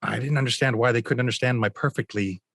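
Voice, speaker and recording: A man's voice: medium pitch, steady tone, fast, gravelly, fairly bright tone, no echo, clean studio sound. A middle-aged man with a mid-Atlantic American accent, chatting as a podcast guest, rambling with fillers and restarts. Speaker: voice actor guest. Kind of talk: casual podcast chat.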